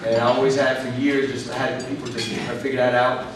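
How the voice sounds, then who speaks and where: A man's voice: medium pitch, level tone, quick pace, distant mic, background noise. Man speaking in an echoey hall. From New York, United States. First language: English. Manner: rambling, with frequent "you know" fillers. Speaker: keynote speaker. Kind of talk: conversational keynote speech. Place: large convention hall.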